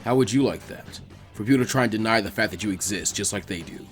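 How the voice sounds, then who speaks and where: A man voicing a gruff, weary, laid-back ninja mentor in a dramatic story reading, with dry, low-key muttering. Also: quick pace, faint music behind, close to an everyday voice. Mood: calm, frank. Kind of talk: dramatic fan fiction character reading.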